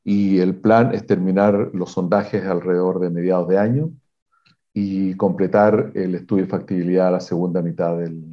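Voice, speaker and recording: A man, a mining exploration executive, giving measured technical answers in an interview, pausing often with fillers and restarts. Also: low-pitched, steady tone, highs cut off. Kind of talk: interview answers on a video call.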